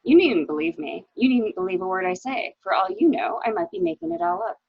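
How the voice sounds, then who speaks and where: A woman's voice: on the high side, sing-song, a little fast, gravelly, slightly rough, no echo, lo-fi sound, exaggerated voice. A woman playing a tense, evasive wife suspected of murder, in an amateur dramatic reading of a mystery. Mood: bitter, defiant. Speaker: library staff reader.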